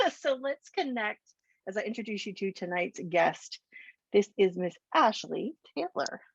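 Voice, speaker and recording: A woman's voice: medium pitch, big pitch swings, slow pace, gravelly, husky, no room sound, dull audio. A woman hosting an interview show who introduces her guest in an effusive, rambling way. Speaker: show host.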